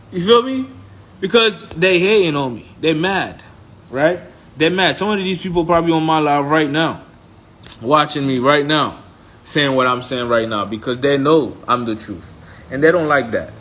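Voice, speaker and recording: A man's voice: fairly high pitch, a little slow, a bit bright. A man from Haiti speaking as a trading mentor on a live stream, blunt and emphatic, repeating points and checking in with listeners. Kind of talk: motivational live-stream talk.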